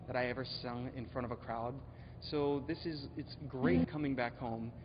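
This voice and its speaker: A man's voice: medium pitch, steady tone, normal speed, crowd noise. A man from Florida, a singer, talking casually in a news interview. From Florida, United States. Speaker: singer in a vocal band. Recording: dull audio with noticeable background noise.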